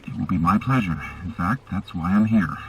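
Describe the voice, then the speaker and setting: A man's voice: low voice, a little fast, gravelly, a bit bright, big-room sound, soft background music. A man playing a weary, wry doctor in a sci-fi horror video game, with a clinical, dryly sardonic manner. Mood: uneasy. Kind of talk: video game acting, musing monologue.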